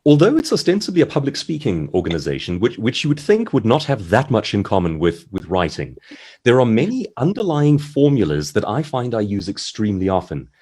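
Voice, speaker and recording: A man giving conversational seminar advice as an author and speaker, articulate and explanatory, with measured phrasing. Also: lowish voice, big pitch swings, darkish tone, husky. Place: online video-call seminar.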